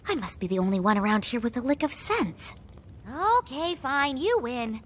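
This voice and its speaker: A woman's voice: fairly high pitch, expressive, normal speed, slight background noise, lightly acted. A woman voicing a plucky, bossy but kind-hearted little innkeeper in a fantasy video game. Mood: exasperated, warning. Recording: faint game music behind.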